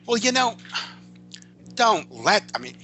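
Male podcast guest, forceful piercing tone, swooping joky delivery, wry asides, slight background noise. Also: high-pitched, slow. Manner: wry, animated, breaks into mock voices and asides. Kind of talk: casual, joking film chat.

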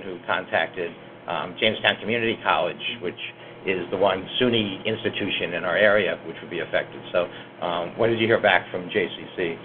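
Low-voiced guy hosting a student podcast, lots of "you know", tinny lo-fi sound with faint hiss. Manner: measured, many "you know" fillers. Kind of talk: round-table discussion.

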